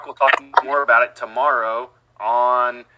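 A young man co-hosting a casual sports podcast, talking in a slow, hesitant, laid-back way. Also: mid-range voice, fairly even intonation, muffled recording. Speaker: podcast co-host.

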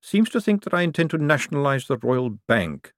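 A man voicing a cool, calculating older ruler who speaks dry, precise and deliberate in an audiobook. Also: a little high, big pitch swings, no room sound, voice pushed up. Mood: dry, knowing.

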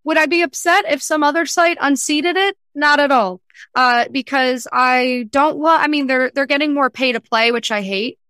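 Podcast guest, female, young adult. Forceful, clean tone, close dry sound, emphatic casual delivery. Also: high-pitched, moderate pace, no room sound. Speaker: podcast guest, freelance entrepreneur. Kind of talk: casual interview chat.

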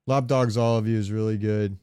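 A man's voice: fairly low pitch, steady tone, fairly quick, warm tone, smooth. A man co-hosting a podcast, chatting casually about games in loose, slangy language. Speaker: podcast co-host. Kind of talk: casual gaming banter and debate.

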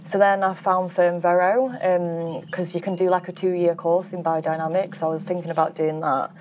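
A woman's voice: on the low side, flat delivery, moderate pace, darkish tone, a little hiss or hum. A woman grower answering interview questions in a chatty, easygoing way, with lots of fillers and easy laughter. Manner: chatty, full of "like", laughs easily. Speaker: farm grower.

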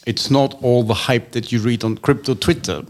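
Man from Austria, mid-pitch, expansive talker, thinks out loud with restarts. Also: normal speed. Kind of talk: casual discussion.

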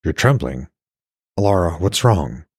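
A man voicing a gentle, reassuring male hero in a fantasy audiobook, with a soft, coaxing manner. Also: low-pitched, sing-song, unhurried, husky, no echo, studio quality, full character voice. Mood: concerned, earnest. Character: gentle, reassuring blind god of Norse fantasy.

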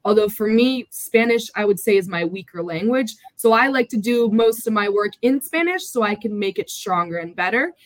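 A woman's voice: mid-range voice, lively intonation, normal speed, few pauses, no room sound. An American woman guest, a bit under the weather, talking casually and chattily in an interview over a remote call. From United States. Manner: chatty, upbeat, talkative. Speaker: podcast guest.

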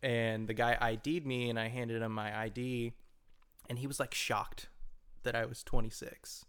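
A man in his mid-twenties with a smooth, resonant voice co-hosts a podcast. He talks at an even middle pitch in a relaxed, reflective way, stringing his thoughts into long hedged sentences. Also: normal speed. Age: 20s. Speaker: podcast co-host. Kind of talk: casual chat.

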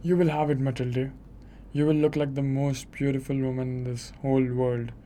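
A man's voice: medium pitch, level tone, moderate pace, warm tone, natural voice. A young man playing a devoted, eager-to-please clerk husband in an amateur radio drama, tender and reassuring. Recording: noisy amateur recording.

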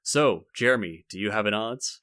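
A man's voice: lowish voice, moderate pace, sharp tone, no echo, clean studio sound. A man co-hosting a podcast in casual banter, with a jokey, playful and rambling way of talking. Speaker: podcast co-host.

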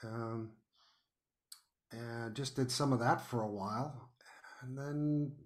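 American man telling stories as a guest. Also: mid-range voice. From New York City, United States. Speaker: podcast guest, playwright. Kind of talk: casual interview storytelling.

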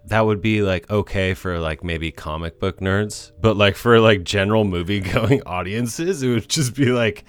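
A young man co-hosting a casual podcast, animated and swearing freely. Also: fairly low pitch, normal speed, few pauses, clear, resonant tone, no echo. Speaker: podcast co-host. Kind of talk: casual chat.

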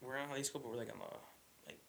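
A man's voice: mid-range voice, expressive, racing, fairly bright tone, slight background noise. A young man hosting a podcast in casual chat. He swears freely, laughs often and keeps calling his guest "bro.". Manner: loose and profane, laughs often, says "bro" a lot. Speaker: podcast host.

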